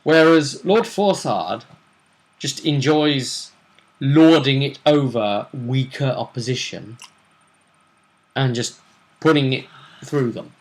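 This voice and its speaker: A man hosting a tabletop role-play vidcast, casually discussing a fantasy tournament in a musing, deliberate way. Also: medium pitch, low-quality recording.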